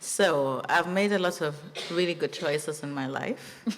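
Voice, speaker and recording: Wry, low-pitched woman panelist. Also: a little slow. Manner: wry, joking, laughing asides. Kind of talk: panel discussion at a live festival. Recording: reverberant hall with room noise.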